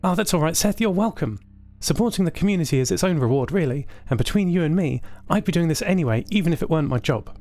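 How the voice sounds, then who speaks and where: A man's voice: on the high side, expressive, fast, darkish tone, no room sound, faint music behind, voice pushed up. A man voicing a friendly, helpful female radio technician in a casual audio drama conversation. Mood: cheerful. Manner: casual, easygoing, chatty. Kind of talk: scripted audio drama dialogue.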